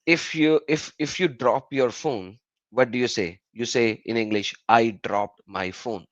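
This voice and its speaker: A man whose first language is Urdu, hosting a live call-in stream in casual English. He explains with many fillers and an animated delivery. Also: mid-range voice, no room sound, dull audio.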